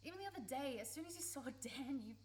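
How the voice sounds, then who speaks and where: A woman's voice: high voice, animated, fast, a little mellow. A woman acting in a radio play as a blunt, emotional young woman, hurt and in love with her best friend. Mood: vulnerable. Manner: emotional, pleading, sweary, blunt. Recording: faint background noise, live recording.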